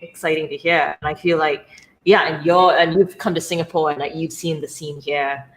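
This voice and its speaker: A woman's voice: low voice, fairly even intonation, normal speed, poor audio. A woman hosting an online poetry talk, asking questions in a tentative way with many hedges and fillers. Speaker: host of the talk. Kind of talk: casual moderating.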